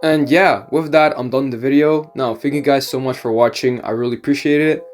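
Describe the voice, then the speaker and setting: A man's voice: medium pitch, steady tone, fairly quick, faint music behind. A man with a cold, talking through game strategies in a tutorial voice-over. He leans on filler words like "basically" as he explains. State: has a cold, voice slightly off. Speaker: gaming YouTuber.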